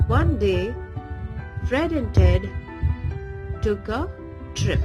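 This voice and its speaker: A woman reading a bedtime story aloud for young children in a deliberate, expressive way. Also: medium pitch, over loud music.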